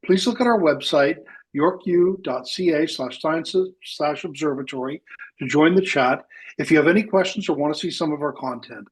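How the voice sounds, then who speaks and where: A man's voice: on the high side, normal speed, breathy edge, rough recording. A man from Wales, hosting a live astronomy radio show and talking with many fillers and restarts. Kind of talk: live radio science chat.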